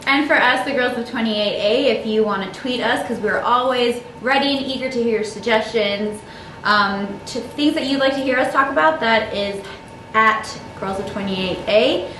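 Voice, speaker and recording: A woman co-host talks in a chatty, brisk way. Her voice sits a little high. The echoey studio carries clear background noise. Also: bright, forceful tone. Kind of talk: casual chat, show outro.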